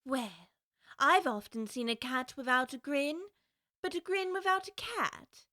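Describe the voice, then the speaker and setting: A prim, earnest young girl, polite and curious as she wonders aloud in a storybook. The voice is high and bright, recorded dry and close with no room around it. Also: lively intonation, unhurried, no room sound, heavily acted. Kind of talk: full-cast audiobook acting.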